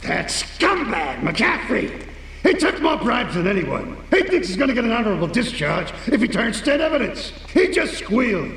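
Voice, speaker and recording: Sneering streetwise cop, raspy and animated, in a video game cutscene. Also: male voice, extremely high pitch, moderate pace, reverberant, big-room sound, recorded from a distance, heavily acted. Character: corrupt, cynical middle-aged male cop.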